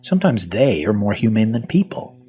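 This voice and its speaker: A man's voice: lowish voice, sing-song, moderate pace, dark tone. A man playing a sardonic, folksy half-zombie survivor telling his story in an audio drama. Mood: agitated sarcasm. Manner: folksy, colloquial, wry. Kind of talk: first-person audio fiction narration with acted dialogue.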